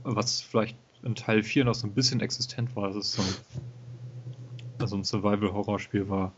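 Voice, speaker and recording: A man co-hosting a casual gaming podcast, talking in a relaxed, hesitant way with many fillers. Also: fairly low pitch, brisk, a little hiss or hum. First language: German. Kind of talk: casual game review chat. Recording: dull lo-fi home recording.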